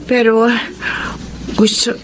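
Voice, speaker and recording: An actress with a Filipino accent playing a remorseful, pleading young friend in a radio drama. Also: female voice, a little high, little pitch movement, slow, noisy background.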